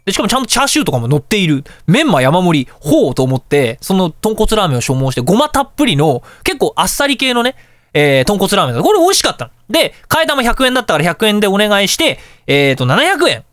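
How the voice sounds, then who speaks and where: Very animated, fast-talking male radio host. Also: sits high, no echo, clean studio sound. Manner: animated, chatty storytelling with colloquial asides. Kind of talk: casual radio talk, telling anecdotes.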